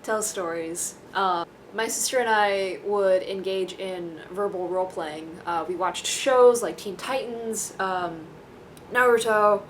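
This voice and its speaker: A woman's voice: mid-range voice, steady tone, slow pace, a little hiss or hum. A young woman from Taiwan talks casually to camera in a rambling, self-deprecating, dryly joking way. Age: young adult. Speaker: vlogger.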